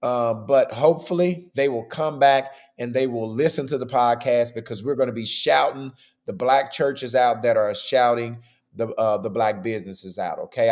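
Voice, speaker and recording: A man hosting a podcast in a casual, chatty way. His voice is hoarse and worn, and he keeps checking in with "okay?". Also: mid-range voice, steady tone, moderate pace, darkish tone. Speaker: podcast host.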